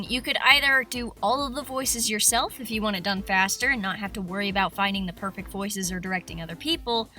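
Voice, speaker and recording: A woman with a squeaky, youthful voice narrating her video in a casual, chatty, self-deprecating way. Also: bright, forceful tone. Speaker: video creator. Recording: faint music behind the voice.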